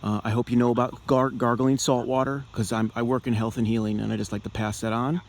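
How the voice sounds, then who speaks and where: A man speaking earnestly and deliberately as a caller to a political office, with halting, emphatic phrasing. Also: mid-range voice, level tone. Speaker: caller, activist in health and healing. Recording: noisy amateur recording of phone call.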